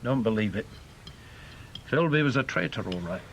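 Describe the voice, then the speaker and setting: A man's voice: medium pitch, expressive, normal speed, gravelly, slight background noise. An actor playing a bitter, blunt veteran British intelligence man in a radio drama, with an emphatic, scornful manner. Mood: grave, emphatic.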